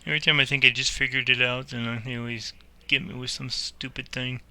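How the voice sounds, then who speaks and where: A man's voice: mid-range voice, little pitch movement, fairly quick, pushed and bright, clear, resonant tone. A man playing air traffic controller in a simulator, giving procedural instructions and commenting on his own game. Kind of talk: simulator gameplay commentary and radio phraseology.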